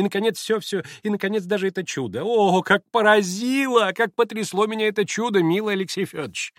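A man's voice: high voice, a bit bright, dry recording, studio quality, voice pushed up. A man reading aloud, voicing a theatrical, flustered middle-aged society mother who gushes and exclaims. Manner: gushing, exclamatory, melodramatic.

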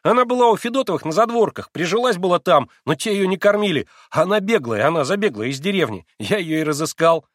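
A man's voice: mid-range voice, animated, normal speed, hardly any pauses, a bit bright, no room sound, studio quality. A man voicing a proud, eager teenage boy in an audiobook, exclaiming and explaining in a bossy showman's way. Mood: elated, eager explaining. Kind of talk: acted audiobook dialogue.

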